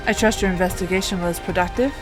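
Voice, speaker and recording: A woman's voice: on the low side, fast talker, music in the background, natural voice. A woman voicing a suave, wealthy male banker villain in a game story, polite and unruffled with a condescending air.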